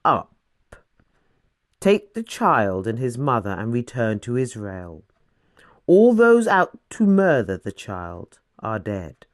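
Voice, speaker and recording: A woman voicing a solemn angel messenger in scripture storytelling, hushed, grave and commanding. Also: extremely low pitch, sing-song, takes it slowly, dry recording, clean studio sound, voice pushed down, exaggerated voice.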